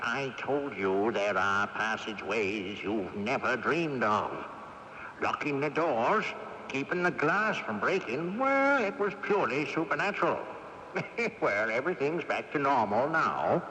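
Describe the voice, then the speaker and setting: An actor playing a proud, crafty old ghost with a theatrical, indignant manner in a radio drama. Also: male voice, mid-range voice, big pitch swings, unhurried, bright, forceful tone. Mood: smug amusement. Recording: noisy AM radio tape, long reverb.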